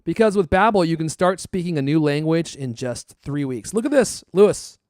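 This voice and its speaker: A man's voice: a little high, expressive, normal speed, a little mellow, dry recording, studio quality. A man hosting a podcast, chatting casually and reading ads in a jokey, teasing way with theatrical emphasis. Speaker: podcast host. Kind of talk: casual chat and ad reads.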